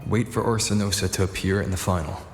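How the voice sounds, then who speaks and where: An actor playing a composed young male game hero who reasons through his plans in a measured, serious way. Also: low voice, lightly acted. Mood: grave, thoughtful. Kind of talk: scripted game voice acting. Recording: echoey, long reverb, music behind.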